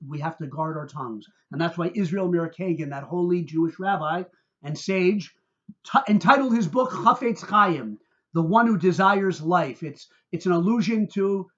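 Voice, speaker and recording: Male rabbi, high voice, heated emphatic sermon delivery, dramatic stress on key words, dull lo-fi sound. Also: a bit bright. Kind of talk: sermon-like religious talk.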